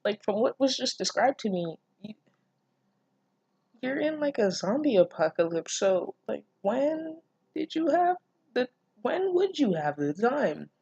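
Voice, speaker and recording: A woman's voice: fairly high pitch, sing-song, measured pace, gravelly, mellow, husky, dry recording, muffled recording. A woman reading fan fiction aloud and reacting casually, with sarcastic, incredulous, foul-mouthed interjections.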